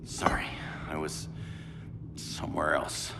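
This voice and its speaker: An actor playing a wry, resolute superhero carrying guilt, in a dramatic video game. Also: male voice, slow pace, whispered, close to an everyday voice. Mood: guarded. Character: wry, guilt-ridden space lawman superhero with iron will. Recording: loud cinematic music behind.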